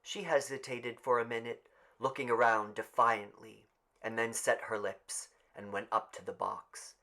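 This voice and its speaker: A man's voice: medium pitch, steady tone, measured pace, vocal fry, sharp tone. A man narrating literary fiction as a scripted audiobook reading.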